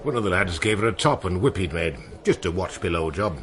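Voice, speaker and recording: A deep-voiced seasoned seaman storyteller. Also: animated, brisk, crowd noise. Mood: wistful, nostalgic. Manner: musing, colloquial storytelling with seafaring turns of phrase. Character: seasoned old seaman, wistful, salty storyteller. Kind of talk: radio drama acting.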